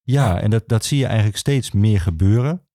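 Low-voiced Dutchman explaining history, haltingly. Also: dark tone, no room sound, clean studio sound. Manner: hesitant, halting, with many pauses and repetitions. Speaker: archivist, podcast guest. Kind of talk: informal expert explanation of history.

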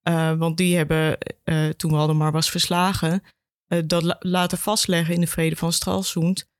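Even-toned woman telling history informally. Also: lowish voice, normal speed, dry recording, clean studio sound. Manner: informal, retells history with imagined quotes. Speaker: education worker, interview guest. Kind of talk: conversational historical explanation.

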